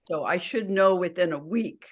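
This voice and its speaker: A woman's voice: on the low side, normal speed, slightly rough, dull audio. A woman giving an informal progress update as a participant in a club meeting.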